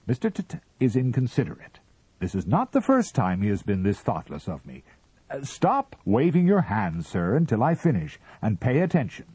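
A man's voice: mid-range voice, huge pitch swings, moderate pace, warm tone, breathy edge, dry recording, pitched above the performer's own voice, heavily acted. A man playing a peevish, pompous Martian official. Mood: peevish lecturing. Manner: peevish, lecturing, sarcastic. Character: fussy, pompous, self-important male Martian official.

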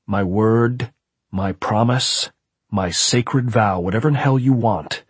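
A man voicing an enraged, desperate husband in an audiobook, intense, shouting and pleading. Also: lowish voice, slow pace, breathy edge, no room sound. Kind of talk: audiobook character voice.

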